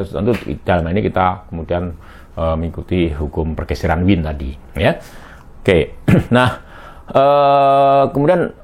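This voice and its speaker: A middle-aged man giving a recorded university lecture, halting and full of fillers and tag questions. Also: low voice. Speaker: astrophysics lecturer.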